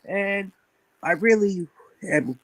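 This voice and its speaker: Eager higher-voiced man asking questions. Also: expressive, slow, no room sound. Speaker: stream guest. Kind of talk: casual chat.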